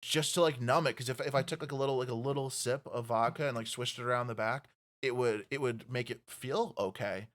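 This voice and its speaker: Casual podcast co-host, a man of middle pitch, rambling and profane. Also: brisk. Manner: rambling, swears a lot, laughs often. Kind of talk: casual chat.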